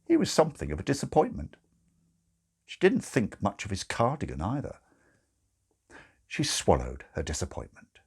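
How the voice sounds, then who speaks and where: A man's voice: fairly low pitch, wildly swooping pitch, normal speed, pauses often, darkish tone, husky. A man narrating a comic audiobook in a wry, theatrical storytelling manner.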